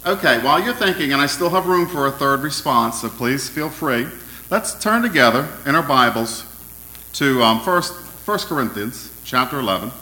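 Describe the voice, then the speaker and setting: A man preaching an interactive sermon, asking his congregation questions in a loud, friendly voice. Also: mid-range voice, lively intonation, normal speed, reverberant, low-quality recording. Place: church hall.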